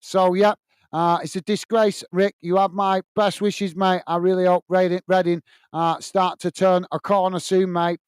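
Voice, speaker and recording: Blunt, passionate Lancashire-accented football host. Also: male voice, sits high, flat delivery, normal speed, clear, resonant tone, no room sound. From England. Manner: passionate, blunt, addresses viewers by name. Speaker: football channel host reading live chat. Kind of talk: casual live-stream chat. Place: small home studio.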